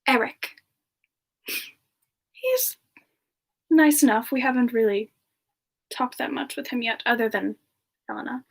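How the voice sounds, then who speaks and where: A gentle young siren demon, played by a woman chats with livestream viewers in a semi-ASMR style. Her voice is fairly high and very unhurried. She is soft-spoken, polite and a little hesitant. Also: gravelly. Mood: gentle, cheerful. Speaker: livestream host in character. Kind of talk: semi-ASMR in-character live Q&A chat.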